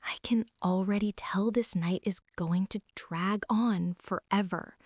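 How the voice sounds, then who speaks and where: A woman's voice: medium pitch, measured pace, a little mellow, no room sound, clean studio sound. A woman playing a bratty teenage girl bully in an ASMR roleplay, whining and sarcastic as she complains straight to the listener. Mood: grumbling, uneasy. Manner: whiny, sarcastic, exasperated complaining with sighs. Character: bratty teenage girl bully, grumbling, secretly softening toward tentmate.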